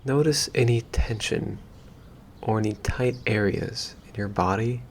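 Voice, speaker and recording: A man leading a guided meditation in a gentle, unhurried, soothing way, leaving long pauses between phrases. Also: on the low side, slight background noise. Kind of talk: calm guided meditation, slow and soothing instruction.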